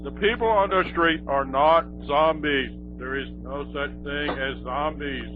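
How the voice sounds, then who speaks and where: A dull, lo-fi broadcast with clear music underneath carries a flustered official announcer, acted out by a man. The voice sits a little high and stumbles along, repeating itself in awkward reassurance like a mock emergency alert. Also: unhurried, lightly acted. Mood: awkward, reassuring. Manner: hesitant, repetitive, awkwardly reassuring.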